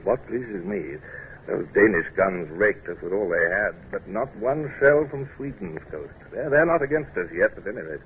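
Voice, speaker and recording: An actor playing a weary, proud British naval commander who is gruffly kind, with hesitant, clipped speech and throat-clearing, in old-time radio drama style. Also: male voice, on the low side, lively intonation, normal speed. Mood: tired, kindly. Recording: muffled noisy old radio recording.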